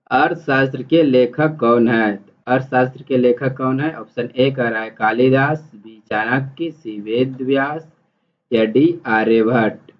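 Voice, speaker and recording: A man teaching exam prep, reading multiple-choice questions and explaining the answers in an instructional, repetitive way. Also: medium pitch. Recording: dull, muffled lo-fi audio.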